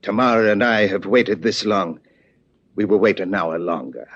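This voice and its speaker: A man's voice: lowish voice, a little slow. A man playing a genteel, coolly murderous villain with precise, formal diction in a 1950s radio drama, on a muffled vintage recording. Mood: cold, polite.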